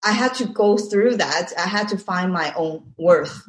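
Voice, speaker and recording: A woman from Taiwan, a guest in a casual interview, speaking reflectively, laughing softly between thoughts and repeating phrases for emphasis. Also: on the low side, lively intonation, measured pace. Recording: roomy echo.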